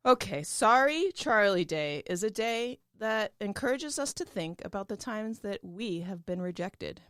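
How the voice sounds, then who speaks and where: A woman's voice: mid-range voice, expressive, a little slow, fairly bright tone, clear, resonant tone, no echo, studio quality. A woman co-hosting a podcast in casual comic chat, playful, wry and blunt. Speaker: podcast co-host.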